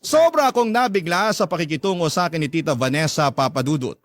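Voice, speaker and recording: A man's voice: a little high, lively intonation, quick pace, no room sound, close to an everyday voice. A man playing an aggrieved young letter writer with a Filipino accent, narrating a story earnestly and emphatically. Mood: hurt, confiding. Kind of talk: dramatic first-person story narration.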